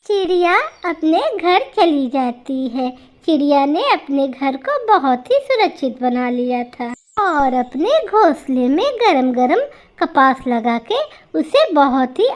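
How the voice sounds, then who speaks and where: A woman narrates a children's cartoon tale in a very high, animated voice. She takes her time, telling the scripted story at a slow pace.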